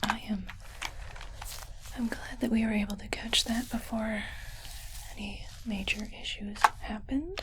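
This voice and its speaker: A woman playing a calm, clinical but kindly alien medic in a whispered ASMR roleplay, hesitant and softly reassuring. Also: fairly high pitch, a little slow, long pauses, heavy background noise, natural voice. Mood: calm, official. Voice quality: breathy unvoiced whisper.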